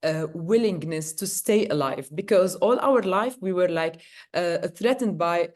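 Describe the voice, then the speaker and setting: A woman's voice: lowish voice, a little slow, darkish tone. A woman, a guest on an interview show, talking casually with lots of fillers and restarts as she tells her story. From Lebanon.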